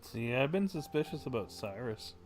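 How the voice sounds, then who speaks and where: A man's voice: medium pitch, very animated, moderate pace, faint music behind. A man livestreaming a game, giving casual commentary and chatting as he plays. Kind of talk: casual live gaming commentary.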